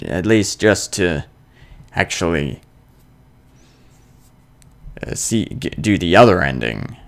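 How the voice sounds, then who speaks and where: Male let's player, lowish voice, very varied intonation, hesitant casual commentary. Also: very animated, slow pace, creaky. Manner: rambling, hesitant, informal. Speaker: let's play gamer.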